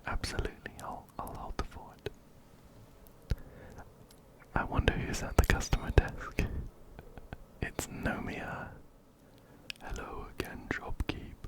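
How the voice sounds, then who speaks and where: A man whispering breathily and gently, very close to a binaural microphone, as he narrates a game and reads its text aloud. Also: slow, pauses often, rough recording. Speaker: ASMR video host. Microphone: close-up binaural microphone, ear to ear.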